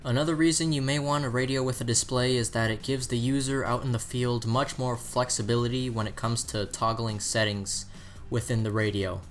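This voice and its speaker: A man with a mid-pitched voice presents a buying guide. He explains product options in a review narration style. Soft music plays behind his voice. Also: steady tone, brisk.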